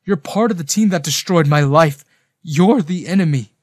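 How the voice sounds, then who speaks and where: A man voicing a bereaved, betrayed young male army nurse in a story, forceful and accusing. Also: on the high side, normal speed, dry recording, clean studio sound, close to an everyday voice. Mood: angry, accusing.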